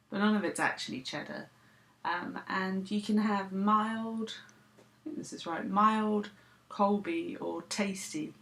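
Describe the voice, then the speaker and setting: A woman's voice: medium pitch, gravelly, fairly bright tone. A woman, vlogging to camera in a dry, self-deprecating, sarcastic way. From United Kingdom. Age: 30s. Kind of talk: casual talking to camera.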